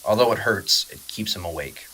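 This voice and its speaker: Low, bright-voiced male drama narrator. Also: expressive, normal speed, husky, rough recording.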